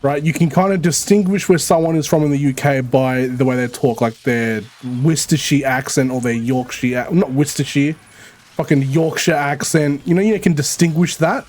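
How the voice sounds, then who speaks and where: A man with an Australian Melbourne accent chatting casually while streaming a co-op game, swearing freely and rambling quickly. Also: on the high side, darkish tone. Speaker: streamer playing co-op. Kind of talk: casual gaming chat.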